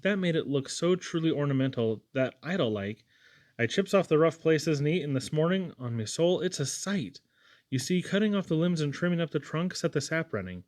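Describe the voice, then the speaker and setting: A man's voice: fairly high pitch, expressive, normal speed, darkish tone, voice pushed up, close to an everyday voice. A man voicing an earnest young Irish lad who tells of things in a folksy, wonder-struck, wordy way.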